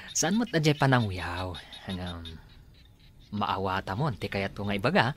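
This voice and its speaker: A man's voice: on the low side, big pitch swings, unhurried, a little hiss or hum. Lalaking gumaganap na maingay na kainuman. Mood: teasing. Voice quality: loud, laughing, teasing. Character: boisterous male drinking buddy, pushy and jokey. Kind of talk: radio drama acting.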